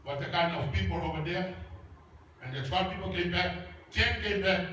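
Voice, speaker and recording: A man's voice: high voice, normal speed, sharp tone, a little hiss or hum. A pastor from Samoa preaching a sermon in English, his second language, with a Samoan accent. He speaks in simple, emphatic, repeated phrases. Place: church. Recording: echoey church hall, voice from far off.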